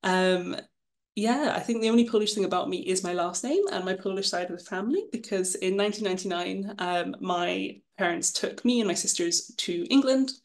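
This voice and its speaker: A woman's voice: mid-range voice, fairly quick. A woman in her twenties, from Scotland, casually presenting her path as an illustrator, chatty and self-deprecating, with frequent ums. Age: 20s. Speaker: guest illustrator.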